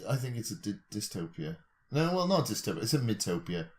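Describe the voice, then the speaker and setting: A man's voice: on the low side, very animated, brisk. A man co-hosting a podcast in casual, bantering chat, arguing his points in a mock-serious, drily joking way. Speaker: podcast co-host.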